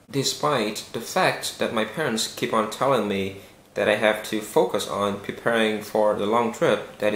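A young man, Vietnamese his first language, speaks straight to camera at an unhurried pace with small hesitations. His voice sits in a middle pitch. The small bare room gives the sound a clear echo. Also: a bit bright. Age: young adult. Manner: slow, careful and earnest, with some hesitations. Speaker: vlogger. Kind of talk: casual vlog to camera. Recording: echoey room.

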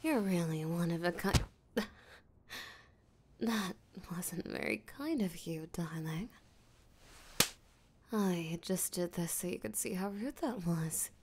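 Slow, creaky, seductive vampire voiced by a woman. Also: fairly low pitch, lively intonation, background noise. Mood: teasing, seductive. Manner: breathy, teasing, flirtatious, slow and deliberate. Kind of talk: intimate roleplay audio drama, spoken to the listener.

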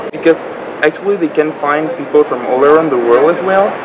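A young man from Andorra, a master's student, answering in a measured way and searching for words outdoors. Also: a little high. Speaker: student buddy. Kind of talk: casual interview answers. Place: outdoors by a bridge. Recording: loud outdoor noise.

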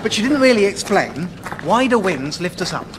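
An actor playing a cheerful, naive young English airline steward in a radio sitcom, guileless and enthusiastic. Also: male voice, sits high, very animated, moderate pace, noisy background. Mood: curious.

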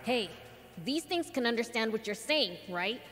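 A cocky demon kid prince from a video game, pitched high and bouncing all over. He boasts and talks bluntly, shrugging things off. His voice rings in a long reverb. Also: lively intonation, moderate pace, fairly bright tone. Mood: eager. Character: brash, cocky young demon prince boy. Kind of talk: video game cutscene acting. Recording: long hall reverb, music underneath.

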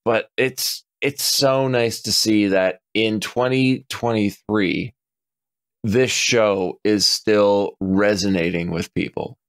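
A man in his forties on a podcast, chatting casually, animated and swearing freely as he acts out customers' lines. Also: lowish voice, slow pace, no echo. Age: 40s. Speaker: podcast co-host.

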